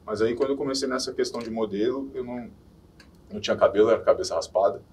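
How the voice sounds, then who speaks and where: A man in his forties, from Minas Gerais in Brazil, chatting easily as a podcast guest and often letting his sentences trail off softly. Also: medium pitch, fast talker, slight background noise. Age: 40s. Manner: easygoing, laughs off, trails off softly. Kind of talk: casual interview chat. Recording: distant mic.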